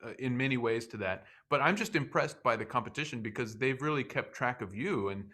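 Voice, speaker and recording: Bright-voiced hesitant male host. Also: medium pitch, brisk. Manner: hesitant, many restarts, polite. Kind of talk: video-call interview. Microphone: video call.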